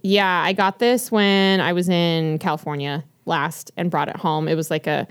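A woman's voice: on the low side, fairly even intonation, normal speed, clear, resonant tone, no room sound, studio quality. A woman co-hosting a podcast, chatting casually and rambling with many fillers and restarts while recommending books. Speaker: podcast co-host. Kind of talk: casual chat about books.

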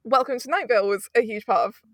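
A woman's voice: on the high side, fast talker, a bit bright, no room sound, studio quality. A woman in her twenties from the UK, chatting casually as an interview guest, rambling with frequent laughs. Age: 20s. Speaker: audio producer, guest.

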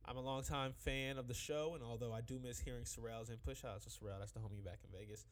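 A man's voice: mid-range voice, fairly even intonation. A young man hosting a hip-hop podcast in casual, slangy chat, a bit sick and sniffly. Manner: laid-back slangy talk, quick and loose. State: under the weather, sniffly. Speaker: podcast host.

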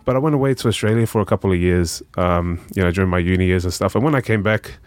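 A young man with a New Zealand accent, talking as a podcast guest in a relaxed, rambling way full of fillers. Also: sits low, fast talker, dry recording. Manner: relaxed, rambling, lots of "you know" and "um", says "bro". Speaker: guest, charity founder. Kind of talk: casual podcast conversation.

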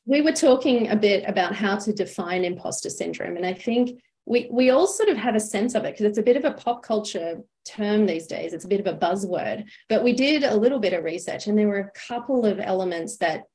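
A woman's voice: medium pitch, warm tone. A woman hosting an interview, speaking conversationally and guiding the talk with questions. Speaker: host, interviewer.

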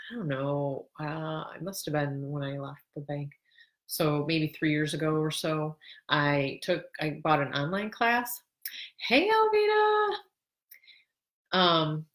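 A middle-aged woman with a notably low voice hosts her own podcast, chatting casually and rambling with plenty of restarts. Her nose is stuffy and congested, which thickens her speech. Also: sharp tone. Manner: rambling, self-correcting, laughs now and then. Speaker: podcast host. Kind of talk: casual solo podcast monologue.

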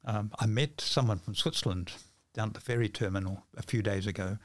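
Low-voiced older New man, halting. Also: no echo. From New Zealand. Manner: halting, repeats words. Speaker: podcast guest, magician and author. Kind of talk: casual conversation.

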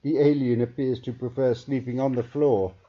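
A man voicing a weary, excitable older alien scientist in an emphatic way, on a muffled recording. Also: medium pitch, moderate pace, voice pushed up, natural voice. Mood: apologetic. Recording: dull, muffled narrow-band recording.

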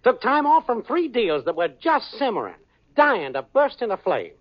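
A man's voice: extremely high pitch, sing-song, normal speed, heavily acted. An actor playing a wisecracking small-time hustler boyfriend in a 1940s radio sitcom, full of brash salesman patter. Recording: dull old radio broadcast, audience laughter.

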